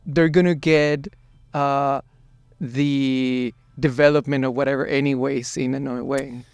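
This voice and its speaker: A man from Colombia hosting an interview in a studio, asking measured, unhurried questions. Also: mid-range voice, fairly even intonation, darkish tone, clean tone, no room sound, soft background music. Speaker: podcast host.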